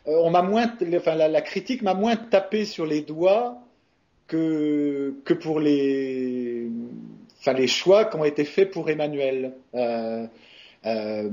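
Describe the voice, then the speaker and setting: A man's voice: fairly high pitch, darkish tone. A man from France, a voice actor, answering interview questions in a hesitant, casual way with many fillers and restarts. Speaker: voice actor guest. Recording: muffled lo-fi recording.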